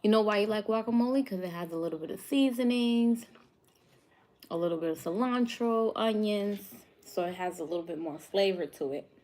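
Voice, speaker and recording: A woman's voice: medium pitch, a little fast, a little mellow. A woman from New York hosting a live cooking stream, chatting casually with viewers and laughing often. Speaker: cooking show host.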